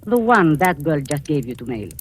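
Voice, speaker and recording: Scheming aunt, low voice, swooping intonation. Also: female voice, very animated. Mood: cold, commanding. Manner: cloying endearments, deliberate halting menace. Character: scheming older aunt, a spy, falsely sweet, coldly menacing. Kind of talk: 1940s radio suspense acting. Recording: noisy old-time radio recording.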